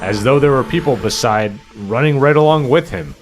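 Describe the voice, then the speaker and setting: A no-nonsense local man with a haunted house to sell, acted by a man. His mid-range voice is brisk and matter-of-fact, radio-play style over soft music. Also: mid-range voice, animated, natural voice.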